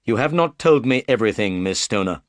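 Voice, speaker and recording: A man voicing a calm, shrewd male detective who speaks in a measured, firm way. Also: lowish voice, dry recording, clean studio sound, lightly acted.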